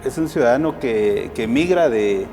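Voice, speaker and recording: A man's voice: medium pitch, animated, moderate pace, dark tone. Interview guest, Guatemalan man, hesitant with many fillers, long reverb, faint music. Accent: Guatemalan Spanish. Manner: hesitant, frequent "eh" fillers and restarts. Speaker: guest, civil society activist. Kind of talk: interview answers.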